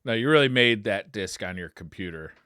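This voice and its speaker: A man's voice: fairly low pitch, expressive, a little fast, pushed and bright, clear, resonant tone, dry recording, studio quality. An American man in his thirties, joking and slangy as he chats casually as a podcast host. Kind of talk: casual chat about retro gaming.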